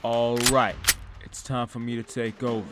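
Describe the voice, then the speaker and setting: A man's voice: medium pitch, flat delivery, normal speed, noisy background, natural voice. An actor playing a determined young male hostage-taker with a gun in an audio drama. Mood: defiant. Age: young adult. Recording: music clearly behind the voice.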